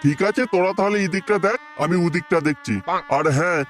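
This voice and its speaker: A man's voice: high-pitched, fairly quick, crowd noise, natural voice. A man voicing a strict, fussy middle-aged father of the bride in a comic cartoon, bossing people around. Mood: bossy. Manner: bossy, fussing.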